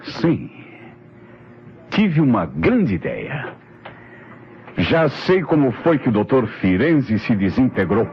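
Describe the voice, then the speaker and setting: A Brazilian man playing a methodical, authoritative police inspector in an old radio mystery, explaining in a deliberate, declamatory way. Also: mid-range voice, big pitch swings. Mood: urgent, intrigued. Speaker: radio drama actor. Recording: dull, noisy vintage radio recording.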